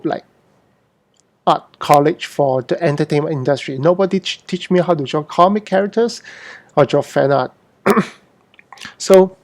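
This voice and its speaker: A man's voice: a little high, a little mellow. A middle-aged man from Hong Kong who later lived in Singapore, talking informally and unscripted as an artist hosting an online Q&A, often repeating and correcting his words. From born in Hong Kong, moved to Singapore as a teen. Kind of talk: informal unscripted livestream talk.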